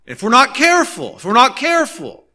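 A man in his thirties preaching a sermon as a pastor, earnest and emphatic, leaning on pauses and repeated phrases. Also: very high voice, wildly swooping pitch, bright, forceful tone. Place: nearly empty church sanctuary, behind a pulpit.

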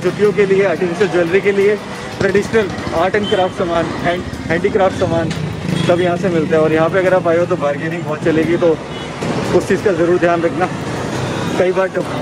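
A man's voice: sits high, very noisy. A man in his thirties from Delhi, talking to viewers as a travel vlogger, chatty and explaining shopping tips, filmed on a handheld phone in a busy street market at night. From Delhi, India. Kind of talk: casual vlog talk to camera. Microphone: phone camera held at arm's length.

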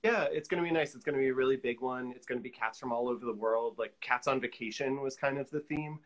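Middle-aged man, mid-pitched, chatting casually. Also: quick pace. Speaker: guest artist. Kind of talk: casual chat. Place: indoor room at home, on a video call.

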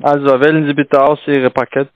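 Halting man with foreign accent, dull line. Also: mid-range voice, level tone, dry recording. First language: not German. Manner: halting, broken grammar, instructing. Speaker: call centre scam agent. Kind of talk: sales phone call. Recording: dull lo-fi phone call, dry.

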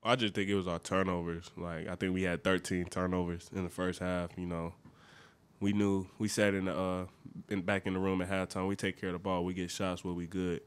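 A man's voice: low voice, little pitch movement, a little fast, clean tone. A young man in his twenties, a college basketball player, answering matter-of-factly at a post-game press conference, seated at a table mic. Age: 20s. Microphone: desk mic on a stand.

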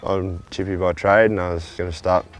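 Male tradie, low register, casual talk, faint work-site noise. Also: steady tone, fairly quick. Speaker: tradesman, solar farm worker. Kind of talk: casual chat.

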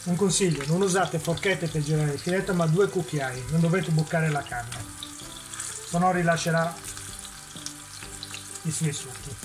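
A man's voice: fairly high pitch, unhurried, pauses often, background noise. A man hosting a cooking demonstration in a home kitchen, explaining each step and answering his own questions. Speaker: cooking show host.

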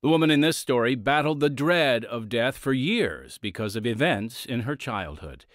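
A man's voice: mid-range voice, animated, moderate pace, a bit bright, no room sound, studio quality. A man announcing and narrating a radio drama in a solemn, theatrical scripted style. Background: organ music.

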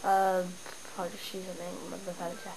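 A young woman with a stuffy cold, chatting and rambling excitedly as she shows off her game town at home. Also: lowish voice, brisk. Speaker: gamer showing her town. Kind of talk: casual game walkthrough. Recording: noisy home camera recording. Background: steady background noise.

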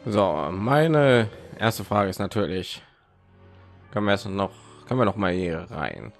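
A man's voice: fairly low pitch, very animated, unhurried, soft background music. A man giving casual let's play commentary, thinking aloud and rambling, with phlegm in his throat.

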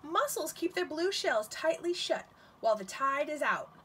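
A woman's voice: high voice, sing-song, moderate pace, fairly bright tone, a little hiss or hum. A woman reading a picture book aloud to young children as their teacher, expressively, often putting questions straight to them.

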